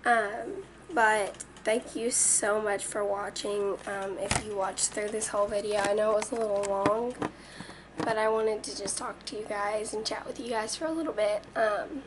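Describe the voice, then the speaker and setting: A teenage girl with a raspy voice talks to her webcam, earnest and full of ums. Her delivery stays flat and even. She sits back from the mic, and steady noise hums underneath. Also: mid-range voice, normal speed. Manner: earnest, chatty, many ums. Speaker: vlogger. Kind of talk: casual chat to camera. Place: small bedroom indoors. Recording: distant webcam audio with noise.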